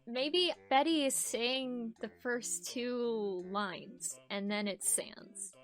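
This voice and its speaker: A woman's voice: fairly high pitch, drawn-out words, faint music behind. A woman chatting casually and animatedly on a livestream while reading fan fiction. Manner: giggly, animated. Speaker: voice actor and streamer.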